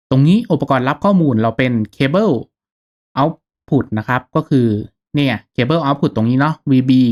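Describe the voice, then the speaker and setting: A man hosting a software tutorial in an informal, polite, step-by-step way, checking in with listeners. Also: medium pitch. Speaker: YouTube tutorial host, gaming channel streamer.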